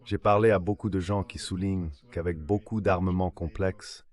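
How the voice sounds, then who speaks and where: A man's synthetic AI voice giving a translated political analysis in a measured, formal read-out manner. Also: low voice, darkish tone. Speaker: translation voice-over of an interview guest.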